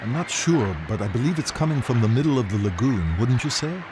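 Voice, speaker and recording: An actor playing a courteous, wry companion in a fantasy video game, speaking politely and articulately. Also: male voice, medium pitch, animated, a little fast, close to an everyday voice. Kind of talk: video game cutscene dialogue. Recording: noisy game audio.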